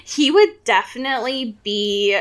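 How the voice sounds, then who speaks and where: Woman co-host, casual book chat, slightly high pitch, slow delivery, exasperated fangirl drama. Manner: giggly, laughs often. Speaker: podcast co-host.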